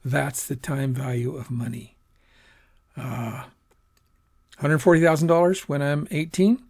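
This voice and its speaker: A man teaches personal finance in a loose, rambling way, often stopping to fix what he just said. His voice is gravelly with a husky edge, and his pitch sits in an ordinary middle range. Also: steady tone, unhurried, darkish tone. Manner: conversational, rambling, self-correcting, acts out quoted asides. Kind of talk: informal lecture on personal finance.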